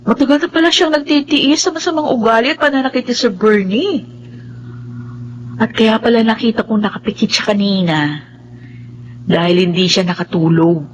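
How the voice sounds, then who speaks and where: A woman's voice: a little high, normal speed, pauses often, a little hiss or hum, lightly acted, low-quality recording. A woman with a Filipino accent acting in a radio drama as a caring, respectful employee and confidante. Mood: sympathetic. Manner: deferential, solicitous.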